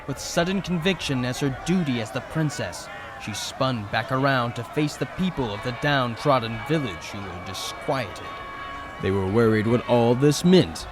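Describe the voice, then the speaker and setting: Male narrator, medium pitch, for dramatic fantasy storytelling; scripted read with noticeable background noise.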